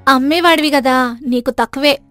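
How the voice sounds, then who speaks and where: A woman's voice: sits high, normal speed, a bit bright, soft background music. A woman voicing a brazen, stingy young daughter-in-law in a cartoon tale, bossy and argumentative. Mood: bossy, haggling.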